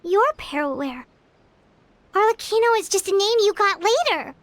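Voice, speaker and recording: A woman voicing a tiny, excitable female fairy companion in a video game, talking in a childlike way. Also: very high voice, moderate pace, no echo. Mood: curious. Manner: childlike, blurts and pleads.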